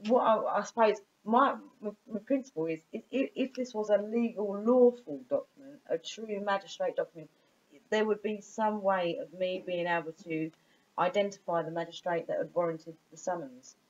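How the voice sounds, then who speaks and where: A woman on a phone call, informally arguing her point in an insistent, halting way and repeating her phrases in a muffled recording. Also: mid-range voice, darkish tone. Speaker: caller.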